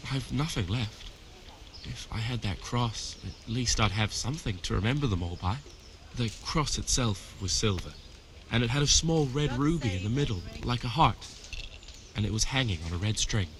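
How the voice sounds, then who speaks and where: A man's voice: mid-range voice, animated, moderate pace, a bit bright, a little hiss or hum. An actor playing a robbed, grieving village man in a video game, simple and grateful, speaking earnestly. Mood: wistful. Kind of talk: video game dialogue acting.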